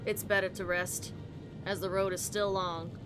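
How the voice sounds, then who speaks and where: Woman reading as a philosophical taxi driver, measured and solemn, visual novel narration, soft music under. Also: mid-range voice, flat delivery, lightly acted. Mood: pensive. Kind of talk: visual novel read-aloud, scripted narration.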